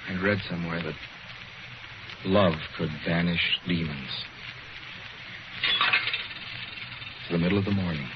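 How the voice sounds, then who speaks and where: An actor playing a haunted, desperate young man in a 1940s radio suspense drama, brooding and halting. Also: low voice, fairly even intonation, heavy background noise, natural voice. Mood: weary, longing. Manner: dramatic, brooding first-person narration with halting repetitions. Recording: dull, lo-fi old radio broadcast. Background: loud dramatic music.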